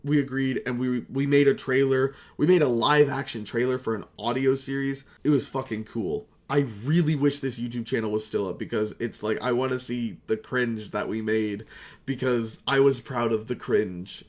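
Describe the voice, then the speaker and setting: A young man rambling casually as a video creator, self-deprecating, excitable and quick to swear. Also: medium pitch. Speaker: video creator, amateur voice actor. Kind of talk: casual rambling retrospective vlog.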